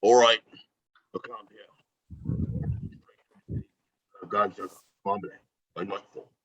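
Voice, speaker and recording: A man playing a gruff, sarcastic mercenary team leader in an amateur action-comedy table read, with a husky voice. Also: lowish voice, steady tone, takes it slowly, fairly bright tone, heavily acted, low-quality recording. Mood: commanding. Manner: sarcastic, curt.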